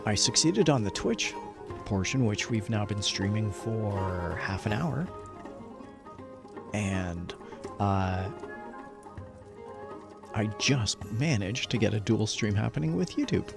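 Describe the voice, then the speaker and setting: Guy livestreaming games, chatty n upbeat, kinda low gravelly voice, music in the back. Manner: upbeat, chatty, muttering to himself while working. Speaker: livestreamer and host. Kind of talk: casual gaming livestream chat while setting up.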